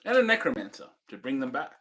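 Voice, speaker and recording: A man's voice: mid-range voice, sing-song, fast, pushed and bright. A man co-hosting a casual review podcast, laughing often and throwing in dry jokes. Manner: laughs easily, dry joking asides. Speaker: podcast co-host.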